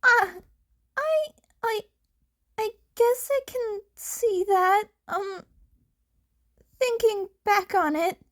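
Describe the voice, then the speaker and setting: Woman voicing a flustered teenage boy, high and hesitant. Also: drawn-out words, long pauses, full character voice. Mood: flustered, sheepish. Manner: hesitant, stammering. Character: flustered, apologetic teenage boy vigilante on the phone.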